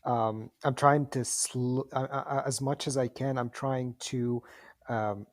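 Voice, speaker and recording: A man's voice: mid-range voice, fairly even intonation, measured pace. A man whose first language is Arabic, debating casually and politely, often stammering and repeating words as he talks. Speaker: discussion participant in an audio chatroom.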